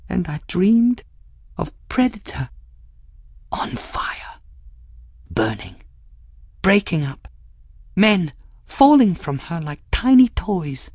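A man voicing a fragile, frightened young woman in an audiobook, speaking quietly in a trembling, breathy way. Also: high-pitched, no room sound, pitched above the performer's own voice, heavily acted. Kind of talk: audiobook character acting.

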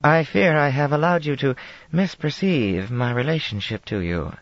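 A man voicing a dignified, middle-aged sorceress who is a woman, speaking in a formal, measured way. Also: fairly high pitch, expressive, no room sound, lo-fi sound, voice pushed up.

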